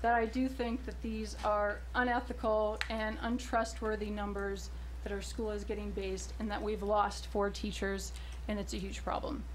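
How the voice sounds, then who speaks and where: A woman's voice: medium pitch, level tone, normal speed, slight background noise, low-quality recording. Earnest mother pleading at a podium. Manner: earnest, pointed, pleading for action. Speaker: parent giving public comment. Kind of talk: public comment at a school board meeting. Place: school board meeting room.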